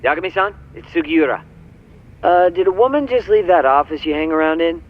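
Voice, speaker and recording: A man's voice: fairly high pitch, expressive, a little fast. A man playing a casual, slightly nervous young informant in a video game cutscene, heard through a phone, chatty and hesitant. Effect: phone call filter. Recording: dull phone call over faint music.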